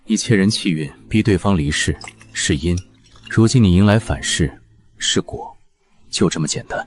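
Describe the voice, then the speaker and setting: A man playing a calm, blunt young man in an audio drama, cool, terse and matter-of-fact. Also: sits low, normal speed, a little hiss or hum, natural voice. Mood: stern, detached.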